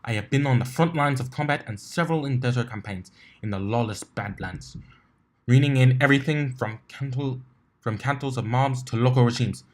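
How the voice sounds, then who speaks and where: A man's voice: mid-range voice, a little fast, natural voice. A man voicing a stern, stiff female soldier pony with a clipped, emotionless, formal delivery.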